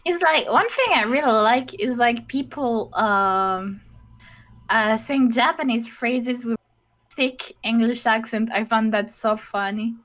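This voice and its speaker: A woman's voice: a little high, takes it slowly, a bit bright. A woman guest in a casual voice acting chat, teasing and giggly. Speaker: workshop guest.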